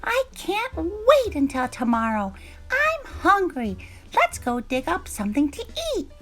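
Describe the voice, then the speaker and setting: A woman voicing a shy, excitable young male groundhog in a children's storybook. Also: extremely high pitch, unhurried, voice pushed up, full character voice. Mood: eager, friendly. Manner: stammers when frightened, exclaiming.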